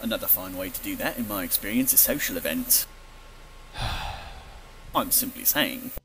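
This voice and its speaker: An older, dutiful family butler, played by a man in an audio drama. His lowish voice is formal and measured, fatherly with a dry wit, over faint noise. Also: animated, full character voice, poor audio. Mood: wry, earnest. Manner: formal, measured, gently wry.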